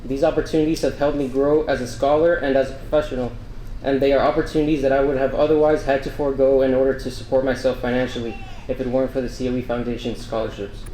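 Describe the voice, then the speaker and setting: An earnest young man delivers a prepared, grateful speech at a podium in a large banquet room. His voice sits at an ordinary pitch and carries a roomy echo, with faint ambience behind it. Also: flat delivery, fast talker, dark tone, slight background noise. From Miami, Florida. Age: young adult. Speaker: scholarship student speaker. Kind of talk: prepared speech at a breakfast event. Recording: echoey hall, faint ambience.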